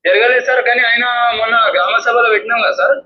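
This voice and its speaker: A man's voice: very high voice, rapid-fire. A man with a Telangana Telugu accent phoning in a local complaint, deferential and often saying sir. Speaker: phone-in caller with a local grievance. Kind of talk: deferential petitioning by phone. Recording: dull phone call, echo, noise.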